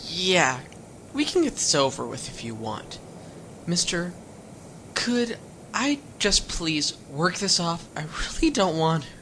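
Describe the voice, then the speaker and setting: An actor playing a cold, wary young homeless man who speaks hesitantly and softly. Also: a little high, big pitch swings, takes it slowly, a little hiss or hum, full character voice. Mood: fearful. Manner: hesitant, pleading, soft-spoken. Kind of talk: audio drama acting.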